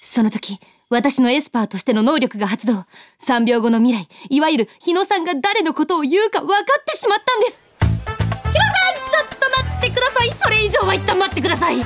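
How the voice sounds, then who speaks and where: A woman's voice: very high-pitched, fairly quick, faint music behind. An actress playing a polite, easily flustered young female office worker in a Japanese radio drama, speaking expressively. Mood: wry narration. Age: young adult. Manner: polite junior speech, expressive. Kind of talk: Japanese radio drama acting with narrated asides.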